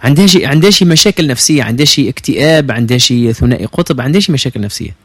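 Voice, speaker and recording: A man's voice: mid-range voice, animated, racing, fairly bright tone, slightly rough, no room sound. A Tunisian-accented man on a radio call-in show, asking careful, polite questions as a studio expert.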